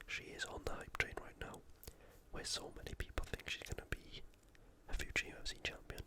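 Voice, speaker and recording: ASMR host in a breathy whisper. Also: brisk. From United Kingdom. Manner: informal, opinionated commentary. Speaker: host of an ASMR fight-preview show. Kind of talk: ASMR, whispered sports preview.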